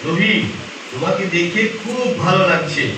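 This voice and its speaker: A man's voice: high-pitched, animated, moderate pace, noisy background. A man playing a kindly, encouraging schoolteacher in a puppet show. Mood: warm, encouraging. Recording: echoey, distant, loud music behind.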